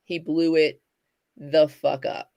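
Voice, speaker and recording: A woman co-hosting a casual livestream talk, speaking in halting bursts with many fillers and restarts. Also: low voice, flat delivery, a little mellow, dry recording. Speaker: podcast co-host.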